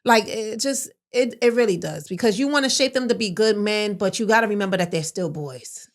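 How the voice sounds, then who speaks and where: A woman with a medium-pitched voice speaks as a podcast host. She is conversational and candid, handing out advice in an emphatic way and repeating her key phrases to stress them. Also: brisk.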